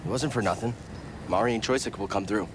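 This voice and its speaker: A man's voice: lowish voice, fast talker, noisy background, lightly acted. A man playing an earnest, hopeful young Jedi adventurer in a video game, speaking in a sincere, understated way. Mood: thoughtful, concerned. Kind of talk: video game cutscene acting. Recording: music over the voice.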